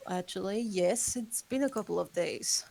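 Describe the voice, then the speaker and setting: A woman reads a vampire who has outlived everyone she loved, speaking in a measured, somber way. Her voice sits at an ordinary middle pitch, and the tone stays guarded and dry. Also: vocal fry, a little mellow. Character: ageless female vampire, guarded and remorseful. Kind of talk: table read.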